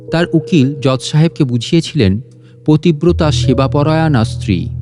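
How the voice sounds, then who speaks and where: A man's voice: mid-range voice, darkish tone. A man reads a literary story as a scripted audio narration. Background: guitar and instrumental music.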